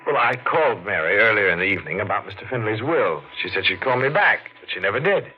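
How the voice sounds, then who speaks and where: A man playing a brisk, probing lawyer. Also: fairly low pitch, animated, lightly acted. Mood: insistent. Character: brisk businesslike lawyer on an estate matter. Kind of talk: 1940s radio acting. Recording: muffled 1940s radio broadcast with faint hiss.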